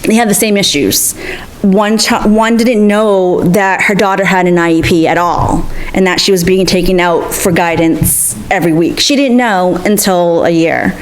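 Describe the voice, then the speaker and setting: A woman's voice: on the low side, normal speed, slight background noise. A young woman speaking as a new school committee candidate and parent, earnest and plain-spoken with frequent ums. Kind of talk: candidate forum answer.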